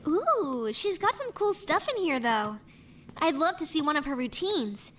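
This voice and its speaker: A woman playing a casual, gently curious young investigator in an animated murder mystery. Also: sits high, unhurried, a little hiss or hum, full character voice. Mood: thoughtful, careful.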